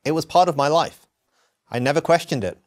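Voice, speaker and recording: A man from England, giving an earnest, measured scripted narration of his own story. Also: fairly high pitch. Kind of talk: scripted first-person narration.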